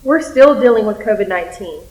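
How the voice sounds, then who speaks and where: A woman's voice: mid-range voice, expressive, brisk, a little mellow. A young woman speaking scripted lines as a student performer in readers theater. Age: young adult. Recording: echoey room.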